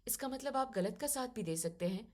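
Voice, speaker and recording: A young, educated daughter-in-law in a family drama story, played by a woman. She speaks softly and politely, yet reasons firmly and with quiet determination. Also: fairly high pitch, animated, a little fast. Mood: hurt, resolute. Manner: polite but firm and reasoning.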